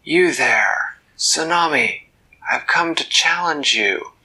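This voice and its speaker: A man's voice: mid-range voice, moderate pace, sharp tone, rough recording. A man voicing a brave, stubborn young male hero who speaks in a raised, insistent voice. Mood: defiant, demanding.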